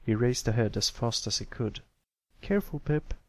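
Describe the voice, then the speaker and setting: A man's voice: fairly low pitch, flat delivery, normal speed, fairly bright tone, no room sound. A man reading a story aloud as an amateur narrator, in scripted storytelling style. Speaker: amateur fan fiction narrator.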